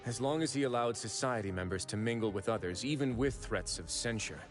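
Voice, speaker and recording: A man voicing a composed noble fortress warden who speaks in a calm, measured, authoritative way, in game audio with music behind. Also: mid-range voice, lightly acted.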